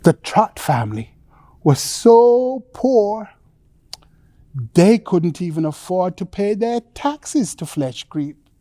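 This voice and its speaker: A woman telling a children's bedtime story in a theatrical, deliberate way with dramatic pauses. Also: mid-range voice, very animated, mellow, dry recording.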